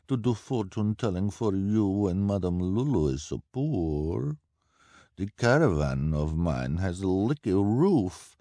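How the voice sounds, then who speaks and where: A man voicing a scheming, greedy female fortune-teller who flatters and speaks broken English with a strange foreign accent. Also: fairly low pitch, no echo, studio quality, voice pushed up. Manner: wheedling, ends phrases with "please". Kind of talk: audiobook character voice.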